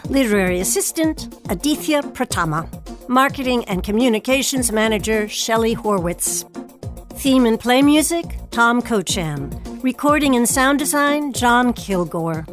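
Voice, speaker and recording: A woman with a fairly high voice works as the host of a theatre show. She speaks in a presenter's manner, suited to interviews and announcements. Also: expressive, a little slow, soft background music. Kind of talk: hosted interview and scripted credits.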